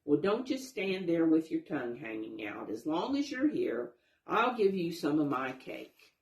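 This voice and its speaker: Woman putting on sensible female storybook creature, no-nonsense, scolding, lively intonation, echoey room. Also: low voice, measured pace, heavily acted. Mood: bossy, busy. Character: brisk, sensible female animal who bakes. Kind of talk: children's storybook read-aloud. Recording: roomy, echoing sound.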